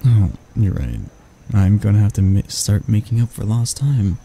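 A man playing a grumpy but soft-hearted barista, flustered and flirty, stammering and teasing in a romantic ASMR roleplay. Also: on the low side, moderate pace, a little hiss or hum, natural voice. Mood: bashful flirting.

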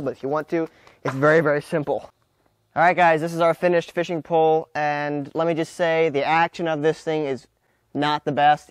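Higher-pitched young American man, vlogging. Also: dry recording. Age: young adult. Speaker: video creator, presenter. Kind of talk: casual vlog talk to camera, demonstrating a build. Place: outdoors by a wooden barn and gravel drive.

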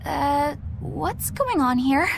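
A woman's voice: high-pitched, sing-song, takes it slowly, gravelly, slight background noise, exaggerated voice. A woman voicing a sensible, earnest young woman with a proper manner in a video game. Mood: puzzled, sensible.

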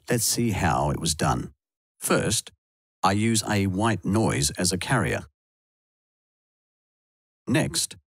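A deep-voiced man delivers scripted tutorial narration. He explains things in a measured way, step by step. The voice-over is dry and close, with very little room around it. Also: husky, no room sound. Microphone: close voice-over mic, dry studio sound.

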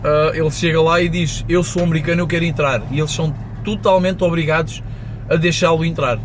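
A man's voice: fairly high pitch, steady tone, brisk. A man of around forty with a European Portuguese accent, rambling casually to camera while driving and mixing in English words. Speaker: vlogger. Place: inside a moving van cab. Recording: loud road and engine noise. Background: traffic and vehicle noise.

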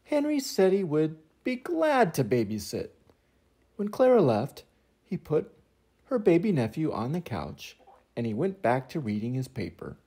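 An older man reading a children's picture book aloud as an expressive storyteller. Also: a little high, unhurried, breathy edge. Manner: expressive, acts out sound effects and voices.

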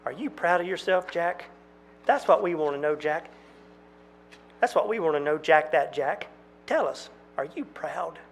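A man voicing twin country girls, speaking quietly and accusingly. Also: on the high side, expressive, measured pace, vocal fry, pauses often, husky, full character voice. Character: eerie country girls, twin sisters, calm and reproachful.

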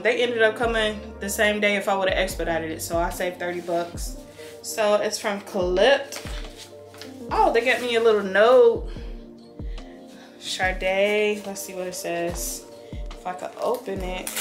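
A woman's voice: medium pitch, fairly bright tone. An American woman in her thirties chatting casually in a rambling, conversational way, as a vlogger talking to a camera in a room at home. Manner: conversational, rambling, thinking aloud. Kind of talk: casual chatty unboxing vlog. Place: small room indoors at home.

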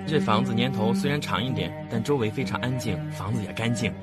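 A man's voice: mid-range voice, brisk, natural voice. An actor playing a timid, polite, nosy young male tenant in a horror radio drama, hesitant and muttering. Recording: loud music behind the voice.

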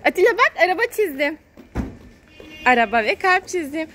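A woman's voice: very high voice, big pitch swings, moderate pace, fairly bright tone, soft background music. A woman narrating casually to a small child as a mother filming a family vlog, encouraging and repeating words in a sing-song way. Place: outdoors at a car wash. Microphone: handheld phone camera, speaker behind it. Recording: outdoor car wash background noise.

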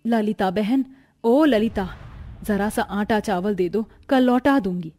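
A woman voicing a poor, patient young married woman in a cartoon family drama, with a weary, gently pleading manner. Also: medium pitch, slow. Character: poor, patient, self-sacrificing young married sister-in-law.

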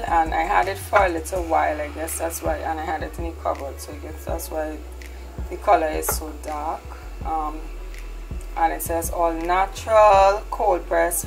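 A woman with a low, gravelly voice talks at an unhurried pace through a grocery haul. She is chatty and relaxed, often tagging lines with "right?". Faint music sits under her voice. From Trinidad. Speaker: lifestyle vlogger. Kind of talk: casual product haul.

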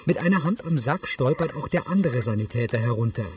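A man's voice: medium pitch, lively intonation, fairly quick, background noise. A man reading scripted audio description in a neutral, factual manner. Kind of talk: scripted narration.

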